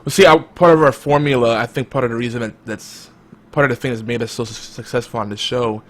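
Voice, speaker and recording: A man hosting a casual podcast chat, talking in a hyped-up, loud, energetic way. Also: mid-range voice, quick pace. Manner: energetic, self-described loud and hype. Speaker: podcast host.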